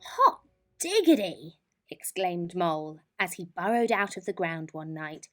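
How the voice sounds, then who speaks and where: A woman narrating a children's bedtime story in an expressive, deliberate manner. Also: lowish voice, soft background music.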